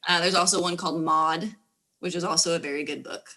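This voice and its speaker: A woman's voice: on the low side, steady tone, fairly quick, sharp tone. A woman with a Texas accent, a guest on a local talk show, chatting in an upbeat way. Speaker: emergency management specialist, guest. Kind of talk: casual interview chat.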